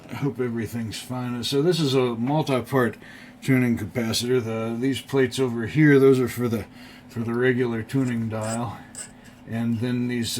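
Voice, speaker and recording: A man presenting a casual, unscripted hobby show-and-tell, thinking aloud in a halting, rambling way. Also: on the low side. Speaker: hobbyist video presenter restoring vintage electronics. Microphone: off-camera mic while filming the object. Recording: clear background noise.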